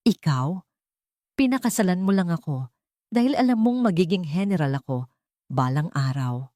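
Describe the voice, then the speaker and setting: A woman's voice: fairly low pitch, a little mellow, dry recording, studio quality. A woman with a Filipino accent giving a dramatic scripted narration of a story. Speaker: narrator of audio drama stories.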